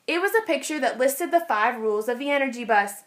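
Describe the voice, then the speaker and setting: Casting: female teacher for picture-book read-aloud, high voice, expressive, very lively intonation, clear phrasing. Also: brisk. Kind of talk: children's storybook read-aloud. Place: indoors, over an open picture book.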